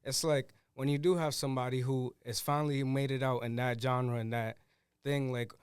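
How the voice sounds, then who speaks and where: A man's voice: mid-range voice, fairly even intonation, moderate pace, clear, resonant tone, no echo, clean studio sound. A man with an American accent chatting casually as a co-host on a podcast. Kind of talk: casual conversational podcast chat.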